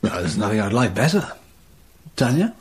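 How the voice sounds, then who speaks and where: An actor in a radio drama playing a curious, easygoing gentleman adventurer with a husky voice. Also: lowish voice, big pitch swings, normal speed, heavily acted. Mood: easy, curious.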